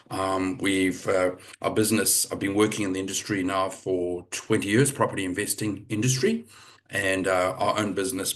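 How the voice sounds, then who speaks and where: Middle-aged male webinar host from Zimbabwe, lowish voice, chatty and loose, heard over a call mic. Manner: relaxed, chatty, many fillers and restarts. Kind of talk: hosting a live property webinar. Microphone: video call.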